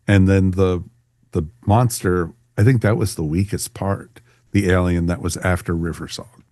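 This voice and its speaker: A man's voice: deep voice, fairly even intonation, moderate pace, darkish tone. A man co-hosting a podcast, chatting casually and enthusiastically in an informal fan review. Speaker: podcast co-host.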